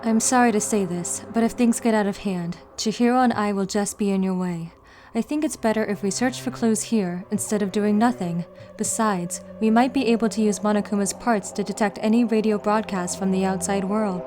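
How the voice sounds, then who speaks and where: A woman voicing a composed, analytical teenage girl detective in a fan dub, speaking in a measured, formal and deliberate way. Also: medium pitch, a little mellow, a little hiss or hum, natural voice. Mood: composed, serious. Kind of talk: anime-style fan dub acting.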